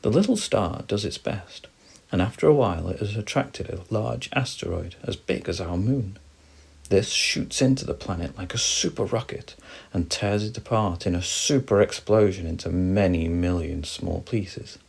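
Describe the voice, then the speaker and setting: A man gently reading a bedtime story for children, expressive and soft. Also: deep voice, moderate pace, creaky, breathy edge.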